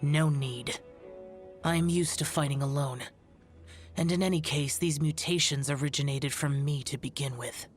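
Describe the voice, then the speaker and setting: A man's voice: a little high, fairly even intonation, moderate pace, lightly acted. A male actor playing a stoic, youthful immortal demon-slayer in an anime video game, speaking in a grave, formal, aloof way. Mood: dismissive. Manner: formal, grave, archaic phrasing. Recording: music behind the voice.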